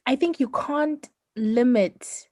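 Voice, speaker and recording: A woman's voice: sits high, drawn-out words, a little mellow, dry recording. A woman from Zimbabwe co-hosting a podcast, chatting casually and animatedly, often laughing and ending her points with "right?". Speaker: podcast co-host. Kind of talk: casual chat.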